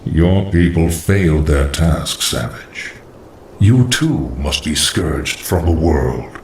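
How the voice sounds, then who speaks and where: An actor playing a cold, scheming undead lich sorcerer in a video game cutscene, with a hollow voice and formal, measured archaic phrasing. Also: male voice, rumbling low, animated, darkish tone, slight background noise, heavily acted. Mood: scornful. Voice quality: hollow, echoing. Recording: echoing, distant voice over music.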